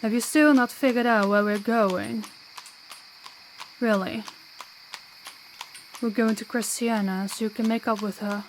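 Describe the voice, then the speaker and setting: A woman's voice: on the high side, fairly even intonation, measured pace, long pauses, a little hiss or hum, natural voice, rough recording. A woman playing a proud, defiant, principled young princess in an audio roleplay, speaking formally and bluntly. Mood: earnest, resolute.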